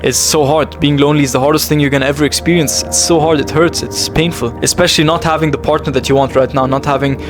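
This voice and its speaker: An earnest young man talks straight to camera from his bedroom. His voice sits a little high, and he speaks very fast and with intensity, hammering key phrases again and again. Clear music plays underneath him. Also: steady tone. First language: English. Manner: earnest and intense, repeats phrases for emphasis, calls listener bro. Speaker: self-improvement vlogger. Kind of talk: emotional confessional monologue to camera.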